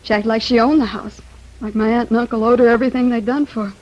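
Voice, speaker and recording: An actress as a troubled young American woman in a 1950s radio drama. Her voice is fairly high but very husky, as if from a bad cough, with a resentful edge. The recording is dull and old. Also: steady tone, quick pace, darkish tone, natural voice. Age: young adult.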